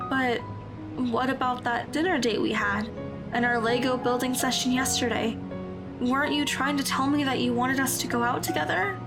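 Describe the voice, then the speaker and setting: Woman voicing an earnest, high-voiced teenage girl. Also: fairly even intonation, moderate pace, over music. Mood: awkward, hurt. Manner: hesitant, formal wording, earnest. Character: awkward, earnest, lovestruck teenage schoolgirl. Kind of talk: audio drama acting.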